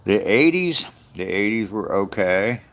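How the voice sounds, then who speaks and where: A man's voice: on the low side, lively intonation, measured pace. A man in his sixties from the United States, chatting casually with live viewers while out on a walk, reminiscing in an easygoing way and chuckling often. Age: 60s. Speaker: vlogger answering live chat. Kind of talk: casual rambling chat. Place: outdoors on a walk.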